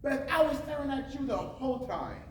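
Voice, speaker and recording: A young man plays a gentle, charming small-town husband in a stage drama. His pitch moves animatedly in soft, playful talk. The sound is very echoey and distant, with clear noise. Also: animated, a little fast. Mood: fond. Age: teenager or young adult. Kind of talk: high school stage play acting. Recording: echoey noisy stage recording from far off.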